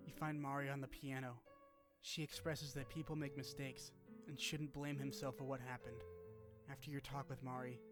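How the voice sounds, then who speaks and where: A man reading scripted narration that retells a video game's story. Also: medium pitch.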